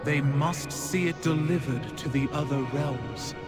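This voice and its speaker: A dutiful otherworldly attendant, acted by a man in a fantasy game, declaims formally. His voice is fairly high and slow, under loud music. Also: close to an everyday voice. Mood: urgent, respectful.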